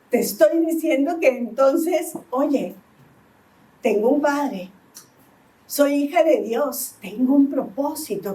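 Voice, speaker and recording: Female pastor, middle-aged, Lima accent, slow deliberate sermon, mic set back in the church. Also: high voice, warm tone, far from the mic, a little hiss or hum, rough recording. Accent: Peruvian (Lima). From Peru, Lima. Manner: asks the congregation "¿sí?" again and again.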